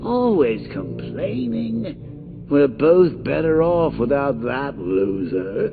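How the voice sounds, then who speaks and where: An actor playing a taunting, manic male clown villain in a video game, with a gravelly, theatrical voice that swings between whispers and shouts. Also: medium pitch, sing-song, slow. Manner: mocking, sing-song cruelty. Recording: tinny lo-fi audio with music underneath.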